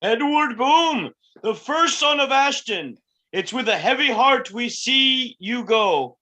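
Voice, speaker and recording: Solemn, ceremonial town mayor played by a woman, bright forceful voice, slow formal delivery. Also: fairly high pitch, animated, exaggerated voice.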